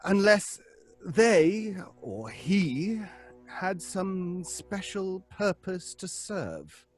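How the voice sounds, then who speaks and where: An actor playing an earnest, hesitant gentleman who leads a séance and admonishes others in an old-fashioned radio horror drama. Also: high-pitched, sing-song, extremely slow, soft background music, heavily acted. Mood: hesitant, cautioning.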